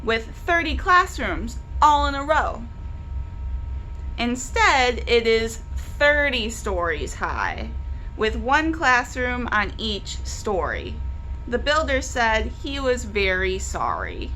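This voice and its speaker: A woman hosting a library book club, reading a children's storybook aloud with deliberate, animated emphasis. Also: a little high, slight background noise, rough recording.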